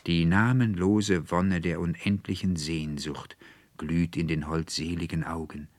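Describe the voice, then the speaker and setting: A man with a standard German accent reading literary fiction in a solemn, rapturous, drawn-out manner, phrases fading into breathy whispers. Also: sits low, steady tone, dry recording. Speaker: literary reader. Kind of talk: dramatic literary audiobook reading.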